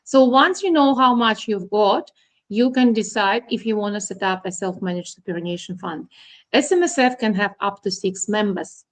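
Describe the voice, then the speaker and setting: A woman's voice: medium pitch, moderate pace. A middle-aged woman from Russia, a guest accountant, explaining finance plainly with frequent ums and uhs. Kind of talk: explaining to an interviewer.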